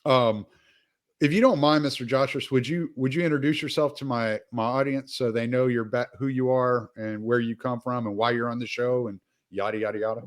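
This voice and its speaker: A man's voice: medium pitch, fairly even intonation, brisk. A man, hosting a casual interview in an easygoing, rambling way. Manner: easygoing, rambling, chuckles often. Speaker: podcast host.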